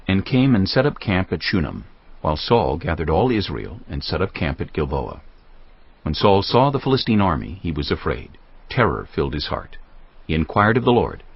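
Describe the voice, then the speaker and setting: A man's voice: low voice, breathy edge, no echo. A man reading scripture as the narrator of a dramatized audio production, in a scripted storytelling style. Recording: muffled studio reading with faint music.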